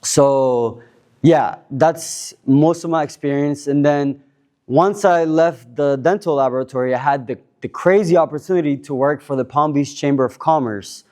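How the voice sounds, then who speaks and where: A man in his twenties from Cuba, introducing himself on a panel in a relaxed, rambling way with many fillers and restarts. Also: a little high, moderate pace. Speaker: panel speaker. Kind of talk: casual self-introduction at a panel.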